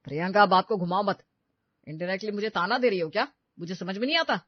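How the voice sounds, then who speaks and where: A man's voice: high-pitched, fast, no echo. Guy acting a tightfisted dad in a family story, kinda preachy, brushes everyone off, dry lo-fi sound. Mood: irritated. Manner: lecturing, brushing off requests. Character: stingy, dismissive young father obsessed with his new house. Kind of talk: acted Hindi audio story dialogue.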